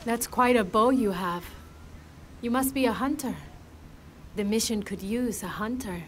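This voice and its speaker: A woman's voice: fairly high pitch, unhurried, fairly bright tone, slight background noise. An actress voicing a worried, chatty village woman who watches over children in a video game. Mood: curious, appraising. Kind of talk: video game character dialogue.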